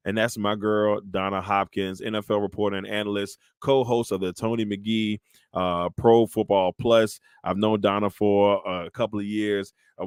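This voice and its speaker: A man in his thirties hosts a relaxed interview show and chats with his guest over a video call. His voice sits on the low side and comes across easygoing, with frequent laughter and repeated phrases. The sound is dry and close. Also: smooth, no echo. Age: 30s. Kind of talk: casual interview chat.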